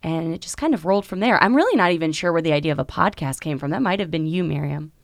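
A young woman co-hosting a podcast, telling the story in a brisk, easy way. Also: low-pitched, lively intonation, no echo, studio quality. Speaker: podcast co-host. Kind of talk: casual podcast chat. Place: recording studio.

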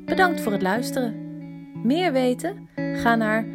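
A woman's voice: sits high, lively intonation, measured pace. A woman reading scripted podcast credits as an announcer, with guitar music behind her. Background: plucked guitar music.

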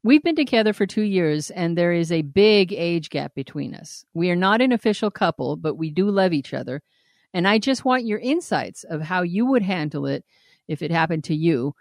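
A woman's voice: on the low side, animated, moderate pace, no echo, clean studio sound. A woman co-hosting a podcast, reading a listener's email aloud and giving blunt, frank advice with frequent laughter and swearing. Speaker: podcast co-host.

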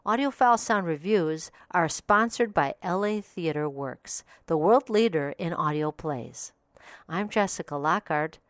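A female podcast host reads a scripted audiobook review with polished, even announcer delivery. Her voice is on the lower side for a woman. The sound is close and dry, with no room around it. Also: a little slow, no room sound.

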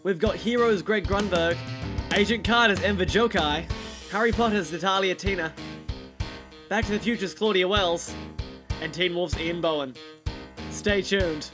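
A man's voice: high voice, moderate pace, pauses often, over music. A man with an Australian accent presenting and interviewing as a podcast host, with polite, presenterly phrasing.

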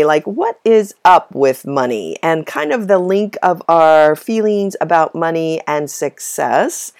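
A woman hosting a podcast solo in a casual, conversational, explanatory way, her voice a little hoarse from a cold. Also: lowish voice, darkish tone. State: slightly hoarse from a minor bug. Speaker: podcast host.

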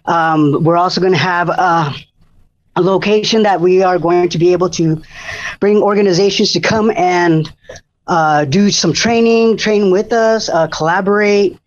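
An enthusiastic man talks in a rambling way, full of fillers and restarts. His voice sits a little high, and the recording sounds dull and muffled. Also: measured pace. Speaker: food bank disaster preparedness coordinator. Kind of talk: casual radio interview.

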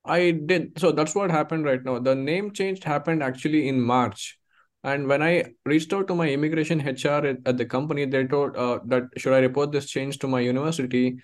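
A man's voice: fairly high pitch, steady tone, brisk. A young man calling in to a conference call, explaining his situation and asking for advice. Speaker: caller seeking immigration advice.

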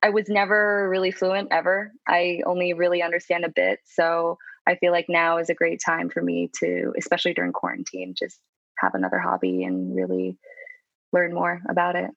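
Woman, low gravelly voice, relaxed chat, dull lo-fi sound. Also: normal speed, no room sound. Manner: relaxed, chatty. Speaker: guest in a group conversation. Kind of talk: casual chat.

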